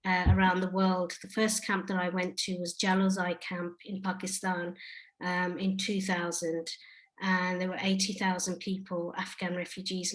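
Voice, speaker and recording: Woman from the UK, artist, Q&A on a video call, flat even delivery, halting with lots of fillers. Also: medium pitch, rough recording. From United Kingdom. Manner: hesitant, many fillers and restarts. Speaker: artist and project lead. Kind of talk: answering audience questions on a webinar.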